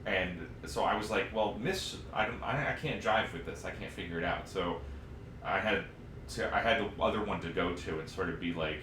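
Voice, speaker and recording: A man in his thirties chatting casually as a podcast co-host, rambling and halting as he goes. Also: low voice, recorded from a distance. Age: 30s. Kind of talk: casual chat about old games. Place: echoey room, live stream podcast recording. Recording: roomy echo, noticeable background hiss.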